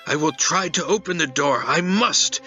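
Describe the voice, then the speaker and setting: A man playing a grieving lord of the manor who speaks in a troubled, halting and introspective way. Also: a little high, lively intonation, highs cut off, over loud music, lightly acted. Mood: brooding. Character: brooding grieving middle-aged lord of the manor.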